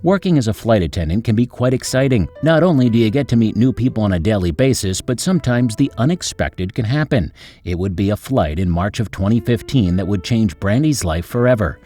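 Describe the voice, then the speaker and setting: A male storyteller reads a script in a suspenseful, upbeat way. His pitch sits on the low side but swings about in an animated manner. Music plays behind the narration. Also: a little fast. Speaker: narrator and host of a story channel.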